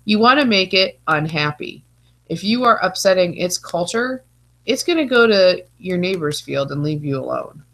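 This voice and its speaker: A woman teaches over a video call with a stuffy, congested nose. Her voice sits a little low, and her talk is chatty and loose, full of playful asides. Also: animated, normal speed, a bit bright. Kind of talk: online presentation lecture.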